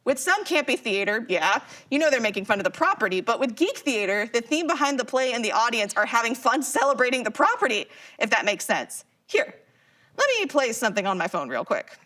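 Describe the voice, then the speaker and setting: A woman's voice: fairly high pitch, very animated, brisk, pushed and bright, full character voice. A woman playing a geeky, chatty modern character in a live audio drama, explaining things in a slangy, casual way. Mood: enthusiastic, casual.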